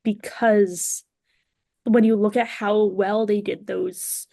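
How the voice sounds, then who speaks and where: A woman speaking as a podcast guest, chatting casually in an informal, expressive way. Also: mid-range voice, takes it slowly, creaky, no echo, studio quality.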